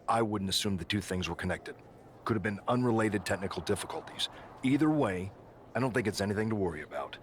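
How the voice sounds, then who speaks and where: A placating wrestling boss, played by a man, speaks in a low voice with managerial reassurance. He waves worries away in game cut-scene dialogue, with faint noise behind. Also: animated, fast talker, close to an everyday voice. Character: smooth-talking, placating male wrestling general manager.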